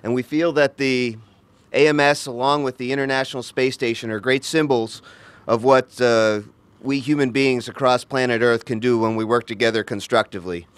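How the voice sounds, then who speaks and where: A man gives an earnest statement to the press on behalf of his crew, stopping often on small hesitations. His voice is smooth and clear. It sounds dry, with no room echo. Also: mid-range voice, steady tone, moderate pace.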